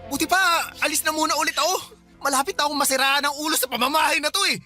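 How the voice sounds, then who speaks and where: Woman acting a high, exasperated young father. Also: fairly even intonation, fast, bright, forceful tone, faint music behind. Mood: weary, annoyed. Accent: Filipino. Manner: exasperated, argumentative, protesting. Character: exasperated, defensive young father accused of cheating. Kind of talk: heated radio drama dialogue.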